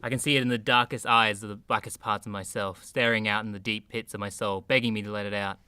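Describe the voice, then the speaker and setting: An actor playing a jittery, tormented man, halting and melodramatic, in a comedy radio play. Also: on the low side, steady tone, sharp tone, clear, resonant tone, no room sound, clean studio sound. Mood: brooding, anguished. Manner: halting, repetitive, brooding and melodramatic.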